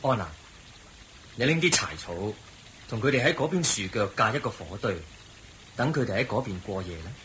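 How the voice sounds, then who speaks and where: An actor playing the Buddha as a kindly, patient monk in a Cantonese radio drama, with a Hong Kong accent. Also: male voice, medium pitch, expressive, fairly bright tone, a little hiss or hum, lightly acted. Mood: kindly, guiding. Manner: measured, patient explaining. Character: the Buddha, calm kindly male wandering monk and teacher.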